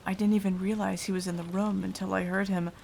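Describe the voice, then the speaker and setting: A woman playing a haunted, remorseful vampire in an ASMR roleplay, confiding in a hushed, halting, dramatic voice that often drops to a whisper. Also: mid-range voice, flat delivery, vocal fry, natural voice. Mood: anguished, ashamed. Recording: light rain noise behind voice. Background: rain.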